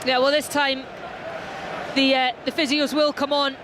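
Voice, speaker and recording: A woman doing live football play-by-play commentary, steady and descriptive. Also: high-pitched, moderate pace. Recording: stadium crowd and noise behind.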